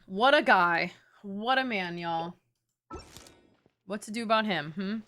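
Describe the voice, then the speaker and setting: A young woman streaming a game, giving casual, slangy and expressive reactive commentary. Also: medium pitch, a little slow, soft background music.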